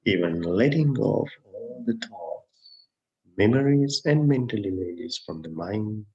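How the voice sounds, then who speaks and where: Low, creaky-voiced man guiding meditation, muffled sound. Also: expressive. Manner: gentle, unhurried, long pauses between short phrases. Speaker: Buddhist monk, meditation teacher. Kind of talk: guided meditation.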